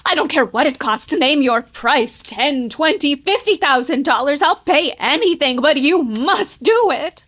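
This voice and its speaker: A woman playing an anxious, imperious widow in an old-time radio drama, high-strung and stammering. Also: lively intonation, unhurried, few pauses, fairly bright tone. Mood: desperate. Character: anxious, imperious wealthy widow cracking under fear.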